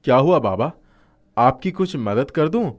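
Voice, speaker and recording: A man voicing a poor, kind-hearted husband in a cartoon moral story, speaking earnestly and gently. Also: mid-range voice, expressive, measured pace, close to an everyday voice. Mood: troubled. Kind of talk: animated moral story acting.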